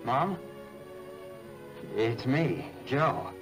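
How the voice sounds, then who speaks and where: An actor playing a nervous, homesick young American soldier in a 1940s film, halting and gentle, with an American accent. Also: male voice, medium pitch, sing-song. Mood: tentative, emotional. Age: young adult. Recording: old film soundtrack with music.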